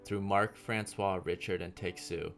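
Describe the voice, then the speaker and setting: Very deep-voiced man narrating a documentary. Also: fairly even intonation, unhurried, gravelly, faint music behind. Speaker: interviewer and narrator.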